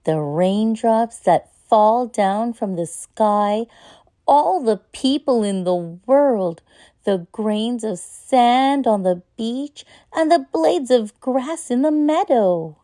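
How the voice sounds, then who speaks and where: A woman's voice: a little high, animated, slow pace, warm tone, no echo. A woman voicing an earnest, eager little girl in a children's storybook. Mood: loving. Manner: urgent, earnest. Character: eager, loving little girl asking her mother.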